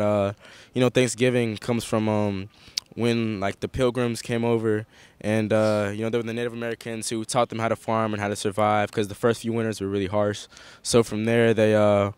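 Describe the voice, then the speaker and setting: A man's voice: fairly low pitch, level tone, normal speed, few pauses, clean tone, no echo. A man answering as a guest in a casual interview, hedging with lots of "you know" and "um.".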